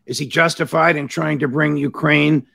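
A man hosting a podcast interview, asking questions in a formal, measured way. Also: a little high, steady tone, creaky, pushed and bright, husky. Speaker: podcast host.

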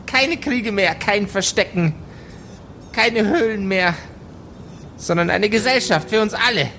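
A woman voicing an excitable goblin in a tabletop audio play, urgent and grandiose as it proclaims a prophecy of peace. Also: mid-range voice, a little slow, slight background noise. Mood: solemn, lofty.

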